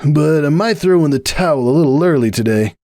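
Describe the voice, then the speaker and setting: A man's voice: fairly high pitch, lively intonation, brisk, a little mellow, no room sound, clean studio sound, pitched above the performer's own voice. A man voicing a young male pegasus athlete from a cartoon, wry and dramatic, with a strained, grumbling delivery. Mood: pained, wheezy. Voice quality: strained, wheezy.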